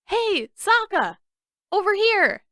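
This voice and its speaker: A woman voicing an excitable, boastful young teenage boy in an audiobook, exuberant and eager. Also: extremely high pitch, slow pace, no echo, studio quality, pitched above the performer's own voice, exaggerated voice. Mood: excited, loud. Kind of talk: audiobook character voice.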